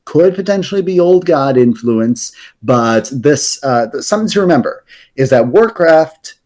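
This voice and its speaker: A man's voice: on the high side, lively intonation, moderate pace, darkish tone. A man on a podcast explaining fantasy game lore in a casual, rambling way, with many fillers and restarts. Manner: rambling, self-correcting, hesitant fillers.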